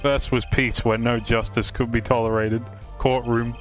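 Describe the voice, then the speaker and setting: A man's voice: mid-range voice, little pitch movement, normal speed, over music, lightly acted. A man playing a laid-back, cryptic defense lawyer from a video game, with casual, clipped delivery. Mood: cryptic, calm.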